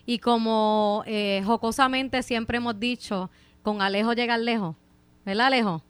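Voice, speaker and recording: A woman with a Puerto Rican accent speaks as a guest on a radio show, polite and a little hesitant. Her voice is smooth and fairly high. The sound is dry and close. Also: fairly even intonation, measured pace, fairly bright tone, dry recording. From Puerto Rico. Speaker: guest legislator. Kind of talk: formal, courteous radio chat. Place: radio studio.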